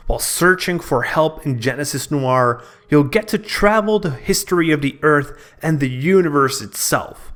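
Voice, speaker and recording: A man reads a scripted game review as if telling a dramatic story. His voice sits a little higher than average for a man and moves with a very animated, varied melody.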